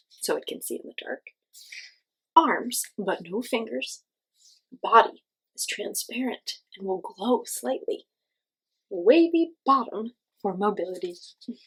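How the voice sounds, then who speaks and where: A woman with a medium-pitched voice that has a little huskiness to it reads aloud for young children. She stresses words expressively and talks straight to her listeners, in the simple, explanatory way of a teacher hosting storytime. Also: husky, low-quality recording.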